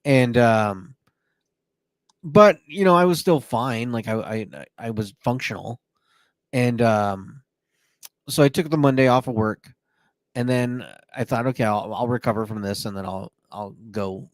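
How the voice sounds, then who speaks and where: A man's voice: fairly low pitch, no echo. A man co-hosting a podcast, telling a long personal story in casual chat with plenty of fillers and restarts. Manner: talkative storyteller, many fillers. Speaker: podcast co-host.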